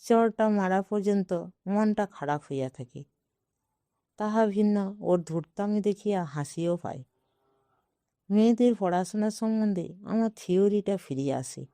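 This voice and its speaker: Female narrator for literary short fiction. Mellow and slow, in a dry recording. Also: mid-range voice, lively intonation, clear, resonant tone, no room sound. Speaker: audiobook narrator.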